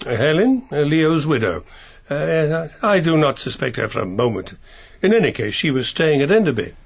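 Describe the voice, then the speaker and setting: Courteous husky English gentleman adviser. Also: on the high side, big pitch swings, full character voice. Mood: earnest. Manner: polite, measured, slightly hesitant. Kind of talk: radio drama acting.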